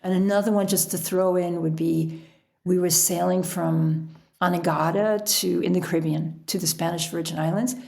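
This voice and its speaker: A middle-aged woman telling stories casually as an interview guest, in an animated, chatty way. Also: fairly low pitch, normal speed, vocal fry. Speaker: podcast guest, author and sailor.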